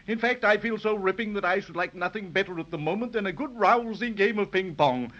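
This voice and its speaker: An old radio recording, dull and boxy, of a high-pitched gentleman stork. He is pompous and effusive, with an absurdly posh British accent and a conceited, apologetic air. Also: brisk. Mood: breezy. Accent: British upper-class. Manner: pompous, effusive upper-crust phrasing. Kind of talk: 1930s radio acting. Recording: dull, band-limited 1930s radio recording.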